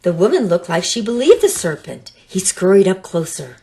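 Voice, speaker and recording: A woman reading a children's story aloud in expressive narration. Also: fairly low pitch, moderate pace. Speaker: storybook reader.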